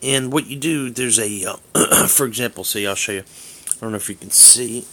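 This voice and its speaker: A man giving a casual hands-on demonstration as a hobbyist vlogger, halting and rambling as he thinks aloud. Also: mid-range voice, very noisy.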